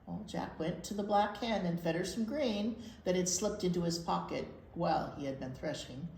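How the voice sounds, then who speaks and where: A woman's voice: on the low side, moderate pace, darkish tone. A woman reading a picture book aloud to young children, explaining simply and asking them questions. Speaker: children's library storytime reader. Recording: roomy echo with clear background noise.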